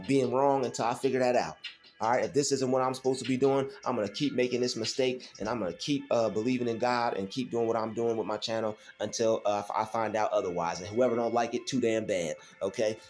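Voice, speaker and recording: A man's voice: lowish voice, a little mellow. A man hosting his own faith vlog alone at home, talking casually and emphatically, repeating phrases and using many fillers. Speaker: channel host.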